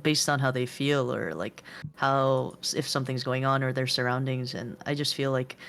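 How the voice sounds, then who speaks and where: A woman's voice: very deep voice, fairly even intonation, normal speed, clean tone. A woman panel guest chatting casually, playful and slipping into mocking voices.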